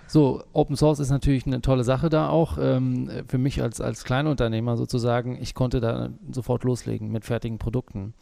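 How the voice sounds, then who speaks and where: A man's voice: mid-range voice, level tone, brisk, gravelly, hardly any pauses, mellow. A man as the interview guest, a small business owner, talking through his work in a hesitant way with many fillers. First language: German. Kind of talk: conversational interview.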